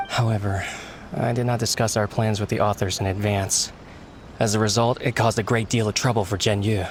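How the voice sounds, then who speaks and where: A man's voice: on the low side, fairly even intonation, a little fast, a little hiss or hum, natural voice. A man voicing an earnest young male book editor who speaks sincerely and apologetically. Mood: apologetic.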